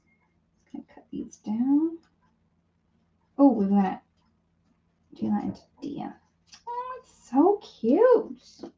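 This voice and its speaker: A woman's voice: mid-range voice, slight background noise. Chatty woman demonstrating crafts, slightly dull audio. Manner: chatty, thinking aloud while working. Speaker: host of a live papercraft demonstration. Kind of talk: casual live craft tutorial chat.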